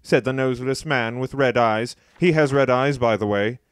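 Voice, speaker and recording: A man giving scripted narration for a parody audiobook in a deadpan manner, as the storyteller. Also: medium pitch, moderate pace, smooth.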